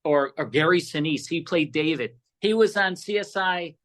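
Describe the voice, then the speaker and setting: A man's voice: on the high side, animated, normal speed, fairly bright tone. A middle-aged American man answering casually as an interview guest, earnest and emphatic, often repeating his phrases. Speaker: podcast guest, radio host and producer.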